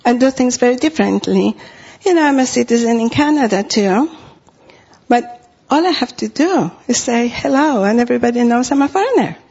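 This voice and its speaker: A woman's voice: a little high, animated, fairly quick, darkish tone, slightly rough, lo-fi sound. A middle-aged woman from Costa Rica with a Spanish accent, preaching a sermon in an earnest way and repeating phrases for emphasis.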